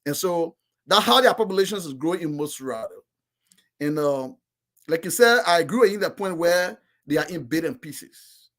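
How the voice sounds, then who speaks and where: A man's voice: fairly high pitch, lively intonation, normal speed, fairly bright tone. A man from Liberia with a Liberian English accent, giving emphatic, insistent explanations in a casual discussion. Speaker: political commentator.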